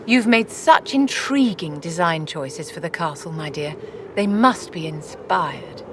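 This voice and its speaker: An actress voicing an elegant, imperious court lady in a fantasy game, measured, haughty and calculating. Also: fairly low pitch, animated, a bit bright. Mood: poised, haughty. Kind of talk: dramatic video game acting. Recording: clearly noisy ambient background.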